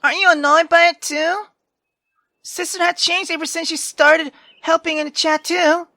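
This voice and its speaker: A man's voice: very high voice, little pitch movement, normal speed, pushed and bright, no echo, clean studio sound. A man voicing a feisty, indignant young woman from a visual novel, sarcastic and dramatic. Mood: bitter, venting. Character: feisty, sharp-tongued young woman venting her grievances. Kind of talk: visual novel character reading.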